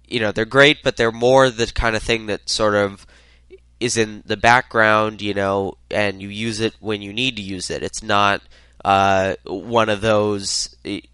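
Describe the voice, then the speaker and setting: A man's voice: on the low side, steady tone, unhurried, sharp tone, smooth, no room sound. A man with a head cold hosting a solo tech podcast, talking loosely with lots of uhs. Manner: rambling, many filler uhs. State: congested from a cold. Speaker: podcast host.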